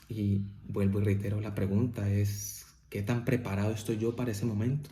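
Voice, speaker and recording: Man, preacher, family devotional sermon, mellow tone, roomy echo, often asks "¿cierto?". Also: medium pitch. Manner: repeats "¿cierto?" often, rises to emphatic peaks. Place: church.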